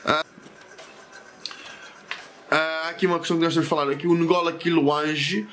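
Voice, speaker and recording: A man's voice: a little high, level tone, moderate pace, a bit bright, soft background music. A man with a European Portuguese accent hosts a history channel, reading a comic aloud and adding chatty, digressive commentary full of fillers and self-corrections.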